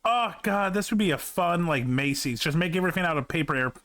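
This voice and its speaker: High, bright-voiced man reacting excitedly. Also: fairly quick. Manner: reactive, excitable, swears casually. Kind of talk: casual chat.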